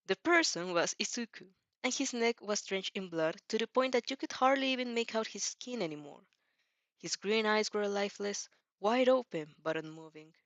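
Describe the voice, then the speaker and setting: A woman's voice: medium pitch, a bit bright, no room sound. Non-native English-speaking woman, dry close sound. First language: not English. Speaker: host reading fan fiction aloud. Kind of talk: casual reaction chat and story reading.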